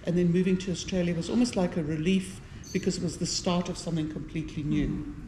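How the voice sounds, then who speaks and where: A woman's voice: fairly low pitch, fairly even intonation, fast. A middle-aged woman with a South African accent, giving a personal account as a panellist at a live event. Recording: echoey room with faint noise.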